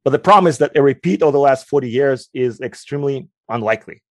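A man in his forties from Ukraine, with a Ukrainian accent, answering interview questions in a measured, explanatory way. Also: medium pitch, lively intonation, no echo, studio quality. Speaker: guest, quantitative researcher.

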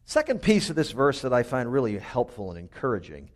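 A middle-aged man with a loud, resonant voice preaching a sermon in a small chapel over a microphone, telling personal stories with humor. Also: mid-range voice, fairly quick. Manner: lively, personal storytelling with humor. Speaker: pastor.

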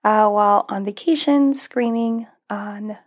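A woman's voice: medium pitch, unhurried. A young woman hosting her own podcast, talking casually and encouragingly with little laughs, her voice a bit strained as it recovers from being lost. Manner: upbeat, encouraging, with frequent little laughs and fillers. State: recovering from losing her voice, may crack. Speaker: podcast host and life coach. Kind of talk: casual solo podcast talk.

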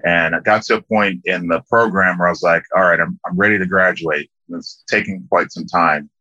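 A middle-aged man from Liberia, a landscape architect talking as an interview guest in a relaxed way, with many ums and restarts. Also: low voice, moderate pace, no room sound. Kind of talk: casual interview conversation. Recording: dull lo-fi dry sound.

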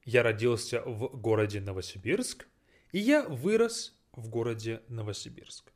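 A young man teaching beginners, speaking deliberately and repeating phrases to translate them. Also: medium pitch. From Russia. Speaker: language teacher. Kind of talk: slow teaching monologue mixing Russian and English.

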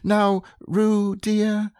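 A man reading aloud and voicing a motherly female kangaroo who fusses briskly and pays little attention. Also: high-pitched, monotone, dark tone, clean tone, no echo, studio quality, voice pushed up. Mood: fussing. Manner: brisk, fussing.

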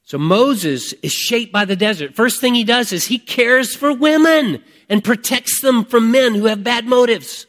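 A man in his fifties preaching a conversational sermon, reflective and emphatic, with deliberate pauses and repeated phrases. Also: very high voice, animated, fairly bright tone. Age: 50s. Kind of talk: conversational sermon with personal testimony.

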